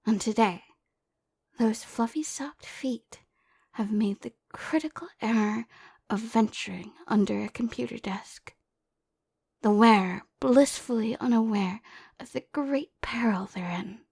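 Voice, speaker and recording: Woman performing a nature documentary narrator, mock-serious, breathy hush, slow dramatic pacing, high-pitched, suspenseful audio roleplay. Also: exaggerated voice. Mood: mock-grave, suspenseful.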